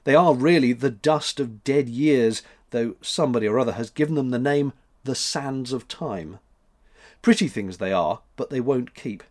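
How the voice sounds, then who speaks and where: A man's voice: mid-range voice, normal speed, darkish tone, close to an everyday voice. A man voicing a proud, musing old man in a storybook reading.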